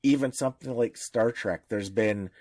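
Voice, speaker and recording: A man's voice: mid-range voice, normal speed, darkish tone, no echo. A man co-hosting a casual podcast, explaining points and often rephrasing as he goes. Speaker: podcast co-host.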